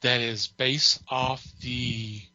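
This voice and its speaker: A man's voice: medium pitch, flat delivery, very slow, pushed and bright, no echo, muffled recording. A man co-hosting a podcast in casual chat, with a wry, teasing way of talking. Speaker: podcast co-host.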